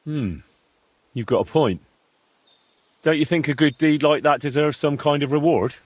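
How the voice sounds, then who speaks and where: An actor playing a plain-spoken young medieval adventurer in a video game, husky and blunt in his questions. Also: on the high side, normal speed, dry recording, natural voice. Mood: wry. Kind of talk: game cutscene dialogue.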